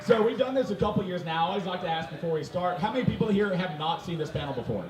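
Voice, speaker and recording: A man hosting a fan convention panel, joking with co-panelists and the audience, lively and teasing. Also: high-pitched, very fast. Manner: lively, teasing, laughs often. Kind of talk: casual joking panel talk. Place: large convention hall with audience. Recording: echoey hall, far off, crowd noise.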